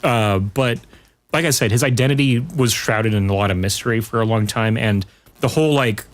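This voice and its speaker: A man's voice: medium pitch, normal speed. A man talking casually as a podcast guest, in an informal way with rambling asides. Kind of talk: casual pop culture chat.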